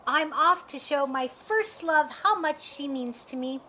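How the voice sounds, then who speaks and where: High, eager goat in love voiced by a woman. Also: unhurried, pushed and bright, a little hiss or hum.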